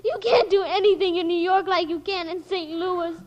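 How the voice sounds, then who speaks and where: A woman's voice: extremely high pitch, fairly even intonation, moderate pace, darkish tone. An actress playing a stubborn, melodramatic little girl in a 1940s radio drama, plaintive and insistent. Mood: tearful, defiant. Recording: dull old radio recording.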